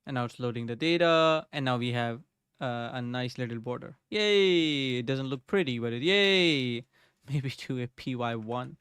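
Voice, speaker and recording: Man coding on a live stream, talks to himself, keyboard clicks, close dry desk mic, smooth voice. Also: mid-range voice, a little slow, fairly bright tone, no room sound. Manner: thinking aloud while typing, greets chat viewers. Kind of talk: casual live coding chat. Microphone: desk microphone.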